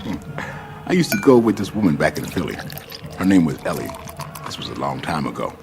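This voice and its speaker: A man's voice: a bass voice, sing-song, gravelly, music in the background, heavily acted. A man acting a laid-back, mischievous, worldly friend in a radio drama, telling things in a wry, colloquial way. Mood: wry, amused. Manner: unhurried, wry, chuckling, colloquial.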